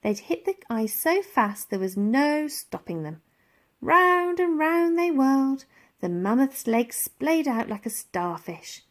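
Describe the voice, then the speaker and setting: Animated, higher-voiced woman reading a storybook. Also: slow pace. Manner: dramatic, expressive. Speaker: audiobook reader. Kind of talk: animated children's storybook narration.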